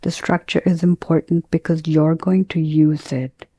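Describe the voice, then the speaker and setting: Slow, mellow woman guiding meditation. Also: deep voice, husky, no echo. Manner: calm, measured, gentle delivery with long pauses. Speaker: yoga and meditation teacher. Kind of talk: guided meditation.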